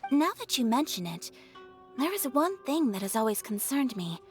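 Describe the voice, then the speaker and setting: A composed young noblewoman speaks in a measured, formal voice, a touch higher than most. Faint game music sits behind her. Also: sing-song. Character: poised young noblewoman, calm and thoughtful. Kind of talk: game cutscene dialogue.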